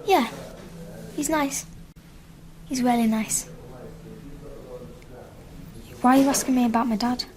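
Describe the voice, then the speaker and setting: A woman's voice: a little high, moderate pace, darkish tone, close to an everyday voice. An actress playing an earnest, hopeful young schoolgirl in a naturalistic radio drama. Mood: guarded.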